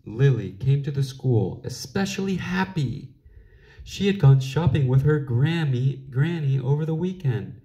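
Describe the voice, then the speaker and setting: A man reading a children's picture book aloud in an expressive storytelling style, with deliberate phrasing. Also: mid-range voice, dull audio. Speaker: storybook reader.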